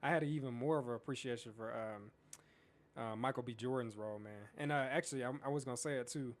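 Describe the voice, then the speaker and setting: A man's voice: mid-range voice, fast talker. An easygoing man co-hosting a podcast in casual chat, often tossing in "man" and "you know". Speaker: podcast co-host.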